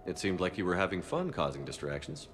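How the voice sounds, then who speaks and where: An actor playing a confident military commander in a video game, speaking in a terse, dry, steady way. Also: male voice, low-pitched, fast, a little hiss or hum. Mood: brisk, businesslike. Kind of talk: video game cutscene dialogue. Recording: game music or ambience behind voice.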